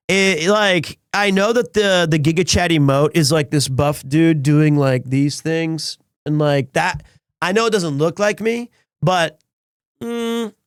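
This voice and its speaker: A man in his thirties, chatting casually with viewers on a live stream, slightly raspy and hoarse after being sick, rambling and excitable. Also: on the high side, no echo, studio quality. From Wales. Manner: rambling, restarts often, excitable.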